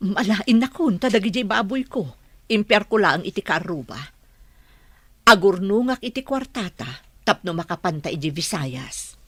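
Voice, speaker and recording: A woman's voice: mid-range voice, lively intonation, normal speed, fairly bright tone, husky, no room sound. A woman playing a humble village mother who raises pigs, in an Ilocano radio drama. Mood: homely, fond.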